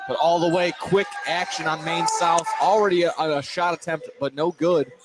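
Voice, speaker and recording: A man's voice: on the high side, fairly even intonation, normal speed. A man calling live basketball play-by-play as the announcer. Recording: noisy gym ambience, ball bounces. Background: basketball game in a busy gym.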